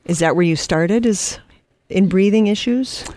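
A woman's voice: mid-range voice, unhurried, a little mellow, no echo. A woman co-hosting a radio show in a studio, enthusiastic and reading announcements.

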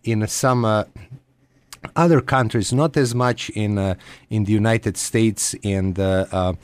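Male interview guest, Croatian accent, expert register, hesitant phrasing, lower pitch, dry close sound. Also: steady tone, clean tone, dry recording. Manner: halting, hesitant delivery with frequent pauses. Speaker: guest psychiatrist and researcher. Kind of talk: radio interview answers.

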